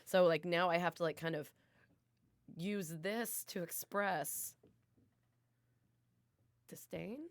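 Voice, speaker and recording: A woman's voice: on the low side, slow pace, pauses often, dry recording. Rambling playful woman. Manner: rambling, playful, restarts a lot. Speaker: radio show co-host. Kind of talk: casual podcast banter.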